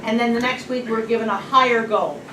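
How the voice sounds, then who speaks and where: A woman in the audience speaks up emphatically, a touch high in pitch. She is heard from far off in an echoey room. Faint room noise is audible. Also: fairly even intonation, quick pace, fairly bright tone. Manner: emphatic, outspoken. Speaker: audience member.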